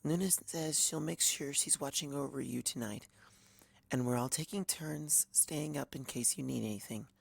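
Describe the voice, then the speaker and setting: A man voicing a timid, gentle young female pony who speaks softly and soothingly. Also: mid-range voice, moderate pace, vocal fry, pitched above the performer's own voice. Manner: soft, hushed, soothing.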